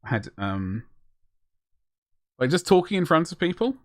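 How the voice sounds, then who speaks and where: A man's voice: on the high side, sing-song, normal speed, no echo, studio quality. A man chatting casually on a live stream as he paints miniatures, rambling and self-deprecating as he answers his chat.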